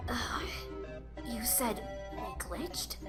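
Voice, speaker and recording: A woman's voice: fairly high pitch, lively intonation, very slow, loud music behind the voice. A woman voicing a hot-headed young female tenrec fighter in a comic dub, cocky, snappy and sarcastic. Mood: subdued, wary.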